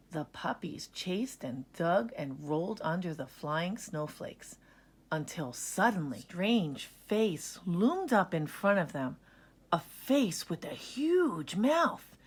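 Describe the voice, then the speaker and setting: Woman, storybook narrator, slow pace, animated pitch, expressive children's read-aloud. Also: husky.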